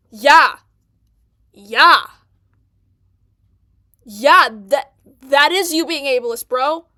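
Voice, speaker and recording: Brief: woman streamer with a stutter, fairly high voice, dry close sound, indignant reaction commentary. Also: pushed and bright, no room sound. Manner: indignant, repeats herself.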